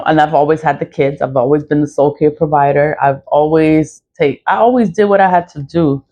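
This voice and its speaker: A woman with a raspy voice, venting bluntly and profanely in an early-morning vlog, repeating herself as she goes. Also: low-pitched, mellow, muffled recording. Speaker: vlogger. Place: dark room before dawn.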